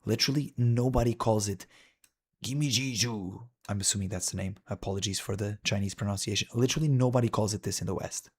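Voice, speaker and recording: Man, casual rambling commentary, wry asides, low husky voice. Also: quick pace. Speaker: streamer. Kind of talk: casual commentary while browsing an anime list.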